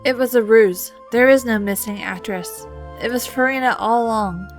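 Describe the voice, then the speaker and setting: Woman acting a weary, high-voiced young reporter. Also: normal speed, faint music behind, natural voice. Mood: dejected. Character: earnest, weary young female news reporter.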